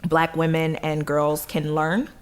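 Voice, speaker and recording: A woman with a low voice speaks as a director being interviewed. Her talk is reflective and conversational, with emphatic phrasing that checks in with the listener. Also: completely flat delivery, slow pace, clean tone.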